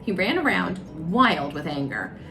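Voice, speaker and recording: Animated woman reading a children's story. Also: on the low side, unhurried, creaky, rough recording. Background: music.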